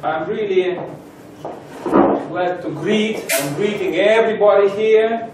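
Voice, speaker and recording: A Russian man speaking English as a second language, giving a halting seminar introduction with many pauses. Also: sits high, heavy background noise. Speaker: lecturer. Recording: roomy echo from far off.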